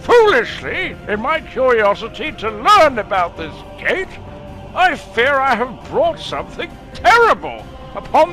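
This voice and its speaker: Very high-pitched old professor, gravely dramatic. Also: male voice, exaggerated voice, low-quality recording. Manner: dramatic, deliberate, portentous. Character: elderly scholarly professor reading his grave warning letter. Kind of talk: theatrical letter narration.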